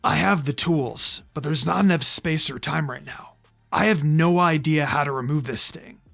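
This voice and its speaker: Narrator doing a brooding, low-pitched teenage ninja boy. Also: moderate pace, vocal fry, a bit bright, faint music behind. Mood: frustrated, tense. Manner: muttering, brooding. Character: troubled teenage boy ninja, frustrated and self-blaming.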